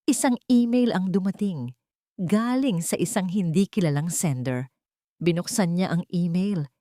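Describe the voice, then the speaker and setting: A woman with a fairly low voice narrates a dramatic story from a script. The sound is dry and close, with little room around it. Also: expressive, a little slow, dark tone, dry recording.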